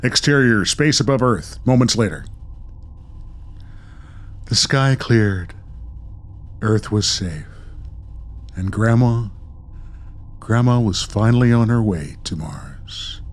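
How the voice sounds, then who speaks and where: A man's voice: lowish voice, lively intonation, takes it slowly, pauses often. A man hosting a show, narrating a radio play and talking casually. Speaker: host and narrator.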